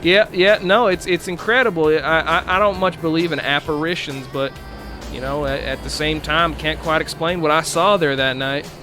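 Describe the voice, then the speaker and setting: A man playing a folksy trucker in improvised CB roleplay, rambling and halting. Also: on the high side, music in the background, natural voice. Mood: earnest wonder. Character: folksy male trucker telling tall road tales.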